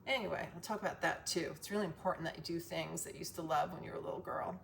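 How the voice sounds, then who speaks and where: Gravelly, strained-voiced woman vlogger. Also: sits low, rapid-fire, fairly bright tone, slight background noise. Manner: profane, emphatic, goes off on tangents. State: voice strained from loud singing. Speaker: wellness vlogger sharing a self-cure. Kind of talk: casual, rambling advice vlog.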